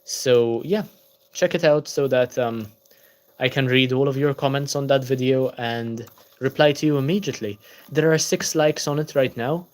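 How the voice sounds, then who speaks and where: A man's voice: mid-range voice, moderate pace. A tired young man hosting a casual book-channel livestream, chatting and answering viewer comments.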